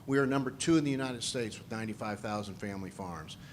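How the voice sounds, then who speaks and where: A man's voice: fairly low pitch, fast, a little hiss or hum. A man, a candidate giving an earnest closing statement at a forum in an event hall.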